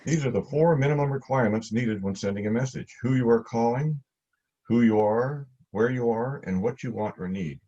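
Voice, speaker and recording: A man giving scripted instructional narration in a plain, measured way, as a class instructor. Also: medium pitch, mellow. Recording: dull, lo-fi audio.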